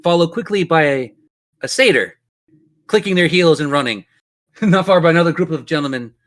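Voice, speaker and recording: A man's voice: a little high, animated, normal speed, fairly bright tone. A man running a tabletop role-playing game as game master, telling the story in a descriptive, theatrical way.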